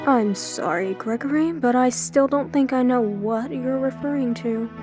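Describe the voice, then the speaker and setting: A woman's voice: high-pitched, a little slow, music in the background. An actress playing a guarded, tough female police chief in an audio drama, with a dry, firm manner. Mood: defensive, dry.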